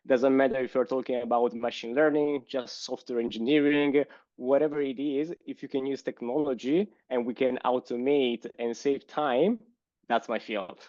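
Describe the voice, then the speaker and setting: A man with an Italian accent answering interview questions in a thoughtful way, pausing often with "uh". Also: mid-range voice, fairly even intonation, a little mellow, no room sound. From Italy. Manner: thoughtful, hesitant with frequent "uh" pauses. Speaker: guest. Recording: slightly dull lo-fi sound.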